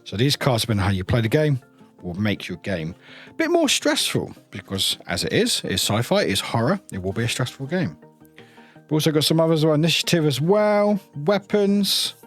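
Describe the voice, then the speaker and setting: A man's voice: on the high side, sing-song, moderate pace, soft background music. A man presenting an unboxing in a casual, chatty way, often trailing into short informal asides. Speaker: reviewer hosting an unboxing channel.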